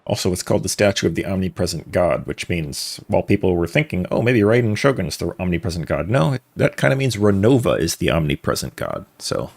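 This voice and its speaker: A man's voice: low-pitched, big pitch swings, fast talker, gravelly, few pauses, husky. A man giving casual live-stream game commentary in wry, musing asides. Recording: game music behind the voice.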